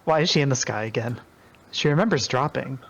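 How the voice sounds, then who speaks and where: A man's voice: medium pitch, expressive, a little fast, gravelly. A man in a group reading, moving between reading aloud and casual chat. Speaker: participant and narrator in a group reading. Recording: dull, lo-fi microphone sound.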